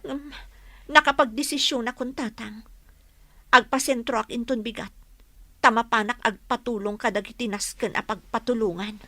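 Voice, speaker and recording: An actress with an Ilocano accent playing an aggrieved, determined village daughter in a radio drama. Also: female voice, a little high, fairly even intonation, moderate pace, pushed and bright, dry recording.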